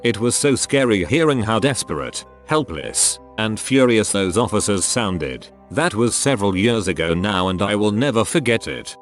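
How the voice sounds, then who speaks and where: Low-pitched man narrating stories with lively intonation. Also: normal speed, few pauses, faint music behind. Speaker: narrator reading online forum stories. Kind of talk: scripted narration.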